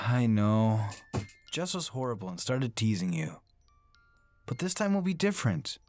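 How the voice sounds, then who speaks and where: A woman playing a gentle, earnest father in a horror radio drama. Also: very deep voice, very animated, a little slow, soft background music, heavily acted. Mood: gentle coaxing. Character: gentle, protective father pleading with his daughter.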